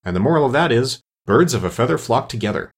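A man's voice: mid-range voice, expressive, fast, slightly rough. A man voicing a pompous, self-satisfied older Duchess in a storybook, fond of moralizing and eager to agree. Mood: smug, agreeable. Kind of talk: storybook character reading.

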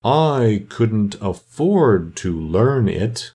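A man voicing a melancholy old Mock Turtle from a storybook, solemn and self-pitying, with slow, drawn-out speech. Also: fairly low pitch, very animated, darkish tone, full character voice. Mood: mournful. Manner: slow, drawn-out, mournful delivery with heavy pauses.

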